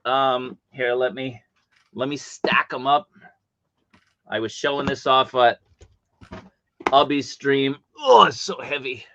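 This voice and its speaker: A man hosting a live stream from home, chatty and animated, with a big voice and coming down with a cold. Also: medium pitch, slow, long pauses, dull audio, background noise. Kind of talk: casual live stream chat.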